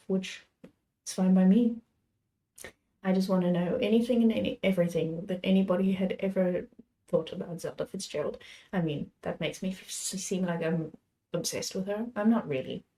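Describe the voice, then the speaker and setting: Young female book vlogger, a bit hoarse and under the weather, mellow voice, loose rambling delivery. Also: lowish voice, poor audio. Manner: rambling, chatty, self-correcting. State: slightly hoarse, a little sick. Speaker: book channel host. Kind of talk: casual chat about books.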